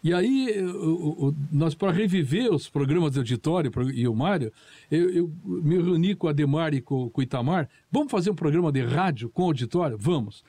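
A man, his voice pitched a little high, mellow and dry. He reminisces in a jokey way, often stopping to restart his sentences. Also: animated, moderate pace, no echo. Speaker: guest, broadcaster. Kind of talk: reminiscing chat.

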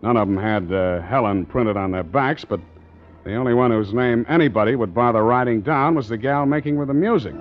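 An actor playing a tough, wary American sea captain and narrator in a 1940s radio drama, with a clipped, wry delivery. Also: male voice, mid-range voice, fairly quick, lightly acted. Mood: dry, hard-boiled narration. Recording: dull old radio recording, organ music.

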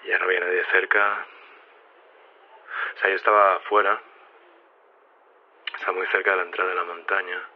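A man's voice: low voice, flat delivery, lightly acted. A man playing a groggy, just-woken scientist in a fiction podcast, rambling hesitantly through a voice message about waking in a borrowed body. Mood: groggy, confused. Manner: hesitant, halting, muttering. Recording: dull lo-fi voice message.

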